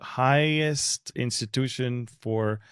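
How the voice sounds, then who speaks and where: A man's voice: mid-range voice, takes it slowly, clear, resonant tone, no echo, studio quality. A man with an Israeli accent hosting a podcast and interviewing a guest in a conversational style.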